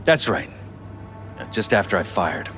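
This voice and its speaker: An actor playing a polished but troubled middle-aged politician in a video game, speaking in a measured, courteous, earnest way. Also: male voice, deep voice, sing-song, a bit bright, heavily acted. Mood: puzzled, uneasy. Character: polished, troubled male politician confiding in an investigator. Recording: music behind.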